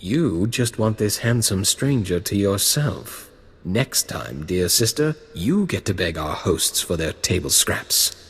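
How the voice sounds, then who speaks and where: A man's voice: deep voice, sing-song, takes it slowly, slightly rough, heavily acted. An actor playing a teasing, sardonic young nobleman in a video game, talking in a theatrical, wordy way. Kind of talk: video game cutscene acting. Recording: long hall reverb, faint music.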